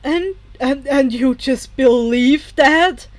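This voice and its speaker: A woman voicing an agitated, distrustful male superhero from a story, loud and indignant. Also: high-pitched, takes it slowly, dry recording. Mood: disbelieving.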